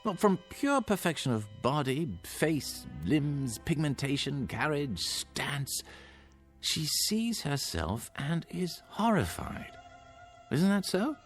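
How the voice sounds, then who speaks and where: A genial radio-drama doctor who talks down to people. His delivery swings dramatically up and down, full of little hesitations, over clearly heard music. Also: a little high, sing-song, slow. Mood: pompous. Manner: chuckling, condescending, hesitant asides. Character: genial, smoothly patronizing male doctor.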